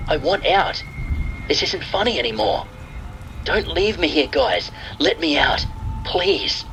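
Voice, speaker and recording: A man's voice: fairly high pitch, very animated, takes it slowly, bright, forceful tone, faint music behind, voice pushed up, full character voice, rough recording. A man voicing a frightened fourteen-year-old boy who protests and pleads, in a spooky radio-style story. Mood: panicked pleading.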